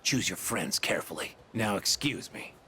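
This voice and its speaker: A man's voice: fairly low pitch, lively intonation, normal speed, bright, forceful tone. An actor playing a stern, exasperated father in a video game, curt and contemptuous. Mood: irritated, warning. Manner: curt, scornful. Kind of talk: English video game voice acting.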